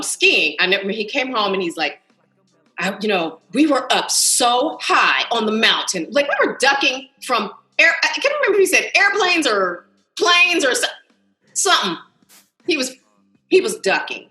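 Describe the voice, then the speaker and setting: Lively, bright-voiced American woman, echoey call. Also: on the high side, soft background music. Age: early 50s. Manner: animated, talkative, quick to laugh. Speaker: podcast guest. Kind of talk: casual chat, telling family anecdotes. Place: indoors, on a Zoom video call. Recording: roomy, echoey video call.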